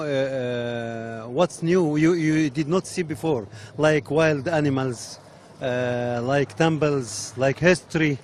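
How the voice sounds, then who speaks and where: A man visiting as a tourist, enthusiastic and halting in broken English. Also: mid-range voice, darkish tone, a little hiss or hum.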